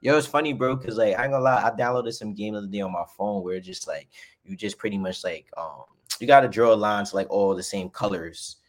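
A man's voice: lowish voice, brisk. A man in his twenties with a New York accent, a wrestler guest chatting in slangy, hyped street talk. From the Bronx, New York. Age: 20s. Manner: slangy and hyped, calls everyone bro. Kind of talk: casual chat.

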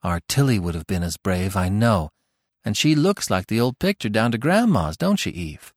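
A man voicing a patient, gentle young farm girl who speaks doubtfully. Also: lowish voice, big pitch swings, moderate pace, no echo, studio quality. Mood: admiring.

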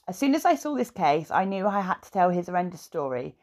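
A woman hosting a true crime podcast, her slightly low voice rough and gravelly from a sore throat. She talks in a chatty way and tells the story with feeling. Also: big pitch swings, fast talker, a little mellow. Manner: chatty, tells the case with emotion. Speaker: podcast host.

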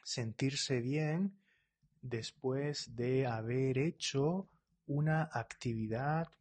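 Husky-voiced man teaching live. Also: mid-range voice, darkish tone. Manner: talks quickly, often checks in with "¿vale?" and "¿eh?"; reads out and corrects viewers' comments. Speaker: Spanish teacher. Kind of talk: live online lesson.

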